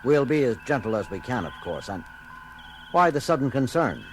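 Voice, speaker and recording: Starship captain male actor: commanding, theatrical, very varied delivery, clear music underneath, sci-fi audio drama. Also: medium pitch, very animated, normal speed, close to an everyday voice. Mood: assured, dry. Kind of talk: science fiction audio drama acting. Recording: loud music behind the voice.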